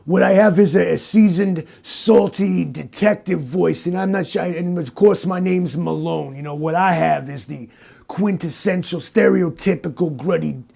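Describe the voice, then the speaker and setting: A man voicing a gruff, salty, seasoned detective with a gritty voice and a streetwise, badgering swagger, in a comedy parody. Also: high voice, normal speed, warm tone, heavily acted. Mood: cocky. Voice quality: gritty, creaky.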